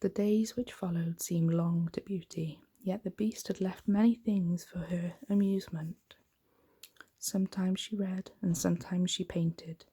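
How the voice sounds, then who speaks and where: A woman reading a bedtime story in a gentle, unhurried ASMR style, soft-spoken and breathy, often close to a whisper. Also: on the low side, fairly even intonation.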